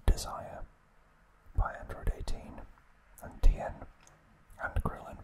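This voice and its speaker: Man, breathy whisper, very slow pace, hushed deliberate delivery, ASMR host. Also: rough recording. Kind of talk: whispered ASMR trivia narration.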